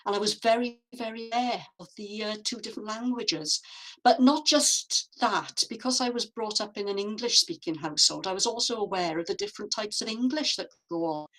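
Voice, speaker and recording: A woman from Wales, a guest artist, answering interview questions in a reflective, measured way with frequent fillers, over a video call. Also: mid-range voice, fairly bright tone, rough recording.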